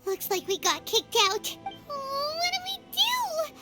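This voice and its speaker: A woman voicing a tiny, childlike, excitable and naive fairy companion in a cartoonish video game style. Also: a little slow, exaggerated voice. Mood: fretful, excitable. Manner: squeaky, animated, refers to herself by name. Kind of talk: cartoonish game character dialogue. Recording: prominent music behind.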